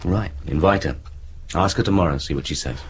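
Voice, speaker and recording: An actor playing a dry, supportive husband in a radio drama, speaking in an understated way. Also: male voice, low voice, brisk, slight background noise, close to an everyday voice. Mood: calm, dry. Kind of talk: naturalistic radio drama acting.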